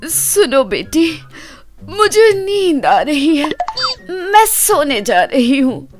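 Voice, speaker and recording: A woman's voice: sits high, big pitch swings, very slow, music in the background, exaggerated voice. A woman voicing a wily older mother-in-law in a cartoon moral story, knowing and theatrical. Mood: feigned innocence.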